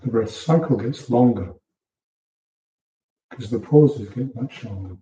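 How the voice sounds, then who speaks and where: Muffled, very dark-voiced man guiding meditation. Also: mid-range voice. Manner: calm, unhurried, soft instructing with short phrases. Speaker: meditation teacher. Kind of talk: guided meditation, gentle spoken instruction. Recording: muffled, echoey room, distant mic.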